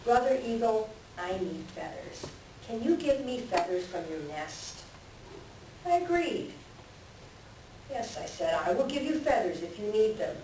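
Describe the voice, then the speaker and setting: Woman as patient eagle elder, stage reading, measured storyteller, distant, faint hiss. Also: mid-range voice, big pitch swings, a little mellow. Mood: storytelling, earnest. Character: wise, patient male eagle elder, leader of animal people. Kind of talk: readers theater stage reading. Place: library room, recorded from a distance. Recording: very echoey library room, distant, hissy.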